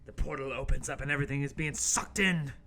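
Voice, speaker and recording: A man's voice: mid-range voice, fairly even intonation, fast talker, pushed and bright. A man playing a young intern hero who narrates his adventure mock-heroically in an amateur comedic radio play. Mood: mock-heroic narrating.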